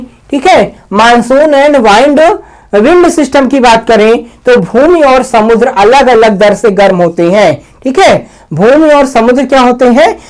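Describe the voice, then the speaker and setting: A man's voice: extremely high pitch, rough recording. A man lecturing to students, explaining point by point, asking rhetorical questions and answering them himself, often repeating each point. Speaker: teacher giving an agriculture science lecture.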